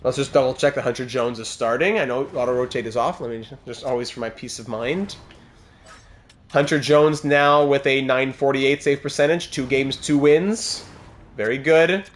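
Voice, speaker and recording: A man's voice: mid-range voice, moderate pace. A young man streaming a hockey video game, chatting with viewers and calling the plays as they happen. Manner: chatty, reads chat aloud, excited calls of game plays. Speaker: gaming streamer playing a hockey franchise mode. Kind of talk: live-stream commentary over gameplay.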